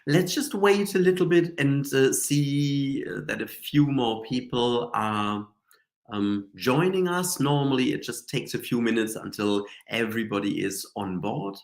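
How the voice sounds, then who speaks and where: A man's voice: medium pitch, a little slow, vocal fry. A man with a cold and a slightly nasal voice, hosting a live stream in a chatty, rambling way with many fillers and restarts. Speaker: Reiki teacher and show host. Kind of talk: casual live-stream talk.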